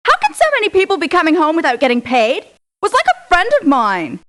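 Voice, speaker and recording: A woman's voice: very high-pitched, wildly swooping pitch, brisk, fairly bright tone, heavily acted. An actress playing a young, ditzy, squeamish valley girl mercenary in a video game, with an American Valley girl accent and a whiny manner. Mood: whiny, affronted. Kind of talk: theatrical video game voice clips.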